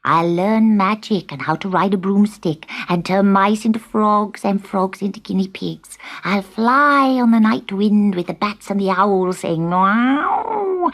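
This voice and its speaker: Woman as a bold, swooping witch's kitten. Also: mid-range voice. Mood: boastful, relishing. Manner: drawn-out, dramatic, excitable. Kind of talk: children's storybook character voice.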